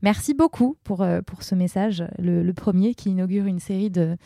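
A woman's voice: mid-range voice, normal speed, gravelly, mellow, no echo, clean studio sound. A woman co-hosting a chatty podcast, playful and hesitant, stopping on fillers between jokes. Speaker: podcast co-host. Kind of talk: casual chat, reading listener messages.

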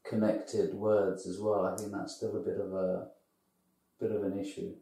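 Gravelly, mellow male co-host with a reflective, halting delivery, recorded off-mic in a roomy space. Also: deep voice, little pitch movement. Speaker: podcast co-host. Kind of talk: reflective conversational chat. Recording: echoey room, distant from mic.

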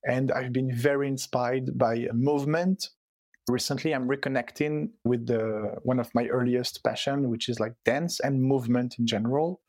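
A man with a Swiss French accent, French and Italian his first languages, chats casually in English. He talks with frequent fillers and restarts while he looks for the right words. His voice sits mid-pitch and is recorded dry and close. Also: fairly even intonation, moderate pace, darkish tone, no room sound. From Switzerland. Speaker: artist guest. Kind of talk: casual conversation.

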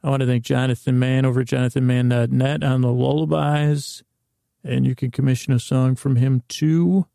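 A man hosting a bedtime podcast, meandering and halting in a creaky voice, with an easy, soothing delivery meant to lull listeners to sleep. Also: medium pitch, level tone, dark tone, dry recording, clean studio sound. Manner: meandering, halting, trails off and restarts. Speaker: podcast host.

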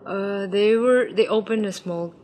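A woman's voice: mid-range voice, unhurried, a little mellow, slight background noise. A young woman from Ukraine, a raw pastry chef and founder, answering interview questions with many fillers and restarts.

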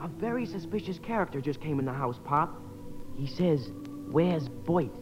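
A performer playing an eager, excitable American boy in a 1950s radio comedy, earnest and conspiratorial. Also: medium pitch, normal speed, over music, lightly acted. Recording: muffled, noisy echoey 1950 radio recording.

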